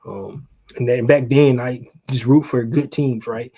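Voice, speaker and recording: A man's voice: medium pitch, steady tone, measured pace, mellow, highs cut off. A middle-aged man from Atlanta, chatting casually and colloquially to viewers as a sports livestream host. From Atlanta, Georgia, United States. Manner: conversational, addresses viewers directly, colloquial. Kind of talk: casual fan chat, reminiscing about sports.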